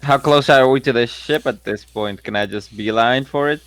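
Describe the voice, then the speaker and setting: A man with a medium-pitched voice talks casually as a player at a tabletop role-playing game. It is everyday table chat. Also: normal speed.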